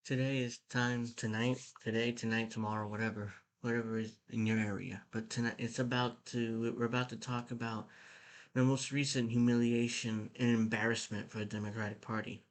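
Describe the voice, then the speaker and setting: Irritated guy ranting about an article, mid pitch, lots of restarts. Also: level tone, a little fast. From United States. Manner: blunt, irritated, with frequent restarts. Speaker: political commentator. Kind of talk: casual commentary reading an article.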